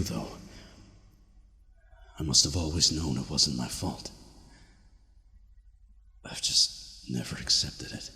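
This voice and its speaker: Very deep-voiced, haunted soldier. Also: male voice, pushed and bright, full character voice. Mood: haunted, resolved. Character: weary, haunted male soldier recording a survival log. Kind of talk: audio drama monologue as a recorded log. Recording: echoey hall with long reverb.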